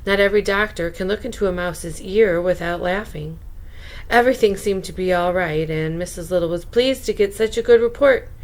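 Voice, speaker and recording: A woman reading a children's book aloud as a bedtime storyteller, expressive, with chatty asides to young listeners. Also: fairly low pitch, normal speed, poor audio.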